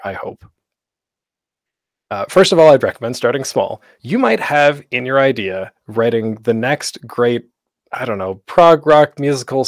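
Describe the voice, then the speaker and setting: A man's voice: medium pitch, no room sound, clean studio sound. A man giving an informal, conversational instructional talk as a presenter, with a self-deprecating manner.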